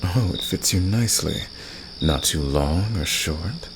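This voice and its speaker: A confident villain acted by a man speaks in an extremely deep, creaky voice. He is flirtatious and teasing, intimate as in ASMR romance audio, with a protective streak. Crickets and night noise are clearly heard behind him. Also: lively intonation, measured pace, heavily acted. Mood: smug, seductive. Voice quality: very deep, gravelly. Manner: teasing, smug, intimate, soft chuckles.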